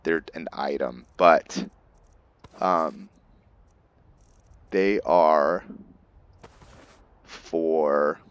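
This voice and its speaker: A man's voice: extremely low pitch, extremely slow, pauses often, dry recording, lo-fi sound. A man giving casual game commentary to viewers, rambling as he thinks aloud and explains things. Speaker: gameplay video creator, player. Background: music.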